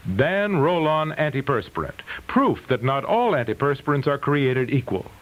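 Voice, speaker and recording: A man's voice: mid-range voice, big pitch swings, moderate pace. A man announcing a scripted commercial and program credit in vintage radio style. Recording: dull vintage radio broadcast, music behind. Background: music.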